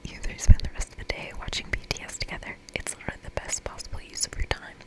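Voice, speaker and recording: Whispering woman narrating a game. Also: fast. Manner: informal asides while reading. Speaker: ASMR creator.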